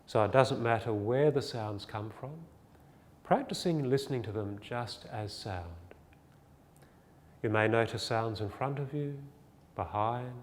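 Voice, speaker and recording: A man teaching a guided body scan meditation, giving gentle, soothing, unhurried instructions with pauses. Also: medium pitch. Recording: long reverb, faint music behind.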